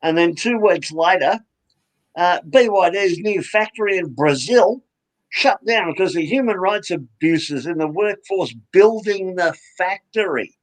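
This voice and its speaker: A man co-hosting in a studio, his voice pitched a little high. He talks dryly and swears casually, wandering through his points with plenty of hesitation. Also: expressive, measured pace. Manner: dry, rambling, many hesitations. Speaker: co-host. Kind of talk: casual profane banter.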